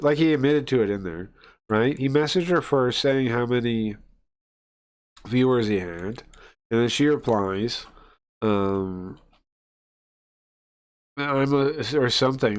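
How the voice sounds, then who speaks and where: A man with a medium-pitched voice talks as a streamer. He gives casual, spontaneous commentary, as if reacting live to a video debate.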